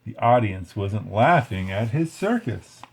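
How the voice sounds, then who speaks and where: A man's voice: fairly low pitch, very animated, a little slow, vocal fry, a little mellow, slight background noise. A man reading a children's story aloud expressively, with casual, chatty asides. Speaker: storybook reader. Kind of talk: read-along story narration with casual asides.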